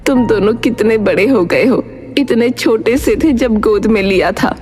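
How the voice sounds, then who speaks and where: A woman's voice: a little high, unhurried, darkish tone, natural voice. A woman voicing an elderly, guilt-ridden nurse in an earnest, confessional story drama, with music behind. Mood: grave.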